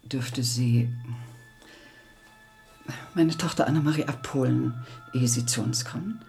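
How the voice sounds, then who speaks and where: An actress playing an anxious older mother in a radio drama, speaking in a hushed, pressing way. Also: rumbling low, measured pace, gravelly, soft background music, full character voice. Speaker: the collector's wife, a mother.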